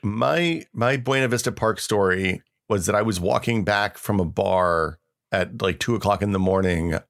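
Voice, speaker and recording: A man's voice: fairly low pitch, moderate pace, gravelly, a bit bright. A middle-aged American man co-hosting a podcast, chatting casually and reminiscing. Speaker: podcast co-host.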